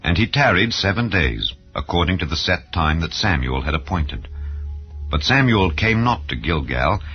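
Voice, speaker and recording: Male Bible narrator: low-pitched, solemn and formal, dramatized reading, muffled recording with faint music. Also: sing-song, normal speed, breathy edge. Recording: dull, lo-fi audio. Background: background music.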